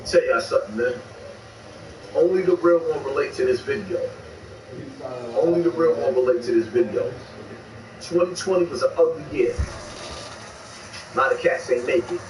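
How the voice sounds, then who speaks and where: A man's voice: on the high side, normal speed, long pauses. A man vlogging with emphatic, preachy street commentary, repeating his catchphrases as he makes his point. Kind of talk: motivational street commentary to camera. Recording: distant echoey mic with noise.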